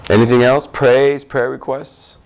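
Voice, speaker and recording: A man's voice: medium pitch, flat delivery, a little slow, gravelly, poor audio. A male pastor leading a casual prayer-request time before a Sunday service, chatting with his congregation. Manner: chatty, warm asides with repeated "good, good".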